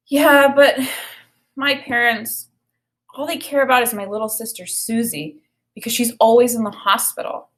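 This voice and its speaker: A woman's voice: a little high, steady tone, normal speed, fairly bright tone, natural voice. A woman voicing a frightened, sad young boy who feels neglected, speaking in a plaintive, whiny way for a children's story. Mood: upset, wailing.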